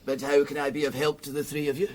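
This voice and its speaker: An actor playing a wry, dryly humorous Scottish doctor in a radio drama, with a husky voice. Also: male voice, fairly high pitch, monotone, fast, a little mellow, natural voice. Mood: affable. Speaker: doctor and amateur criminologist.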